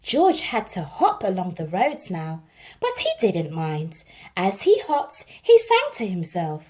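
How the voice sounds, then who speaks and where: A woman reading a children's picture book aloud as an expressive storyteller. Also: fairly low pitch, slow.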